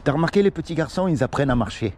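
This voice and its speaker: A man's voice: fairly high pitch, lively intonation, rapid-fire, darkish tone, slight background noise. A man from Morocco doing casual one-on-one street preaching, earnest and pleading, full of everyday examples. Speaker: street evangelist. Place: outdoors on a city street.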